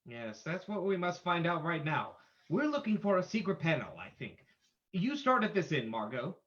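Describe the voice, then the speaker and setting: A man playing a bold, confident gentleman detective in an old-time radio drama, theatrical and commanding. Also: fairly high pitch, very animated, normal speed, highs cut off. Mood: brisk, curious.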